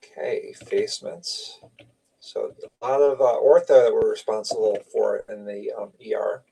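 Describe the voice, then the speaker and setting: A man with a lowish, creaky voice teaches radiology in an informal lecture style. He talks through slides in short, conversational phrases. He sounds some distance from the microphone. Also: fairly even intonation, moderate pace, dark tone, slight background noise.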